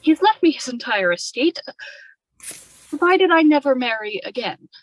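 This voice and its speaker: A strong-willed young wife acted by a woman with a high-pitched voice. She speaks in a confiding, insistent and polite way, in the style of old-time radio drama. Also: very animated, normal speed, poor audio. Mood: indignant.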